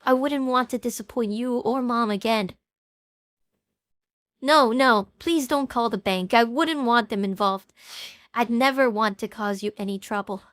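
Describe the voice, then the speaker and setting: A woman playing an anxious, apologetic, cash-strapped young streamer in an audio drama, halting and self-justifying. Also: on the high side, a bit bright, dry recording, studio quality. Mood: pleading, nervous. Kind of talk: audio drama acting with narration.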